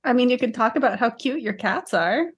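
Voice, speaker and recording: Woman, fairly high voice, podcast co-host, casual chat, matter-of-fact, dryly teasing. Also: expressive, fairly quick, slightly rough.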